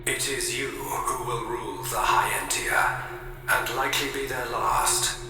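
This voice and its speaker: An actor playing an ancient ancestral spirit, solemn, formal and without emotion, in a game. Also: male voice, medium pitch, fairly even intonation, measured pace, pushed and bright. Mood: solemn, detached. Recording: cavernous echoing hall, music behind.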